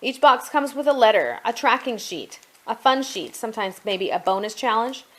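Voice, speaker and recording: A woman's voice: a little high, lively intonation, moderate pace, fairly bright tone. A middle-aged woman talking casually and explaining things as she goes, like a parent showing products at home in a review. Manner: conversational, explanatory.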